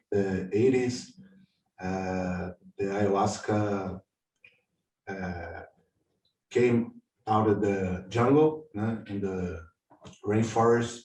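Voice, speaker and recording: A man from Brazil with a Brazilian Portuguese accent, a guest on a video call, speaking unscripted with many halting pauses as he searches for words in English. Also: low voice, warm tone. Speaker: guest sharing about his spiritual tradition. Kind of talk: unscripted personal talk. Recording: roomy and distant from the mic.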